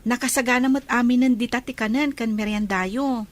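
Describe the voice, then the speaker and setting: Casting: actress for devoted young Filipino daughter in radio-style drama, high voice, attentive manner. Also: female voice, fairly even intonation, brisk, lightly acted. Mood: attentive, caring. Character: caring, dutiful young daughter looking after her father. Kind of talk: Filipino audio drama acting.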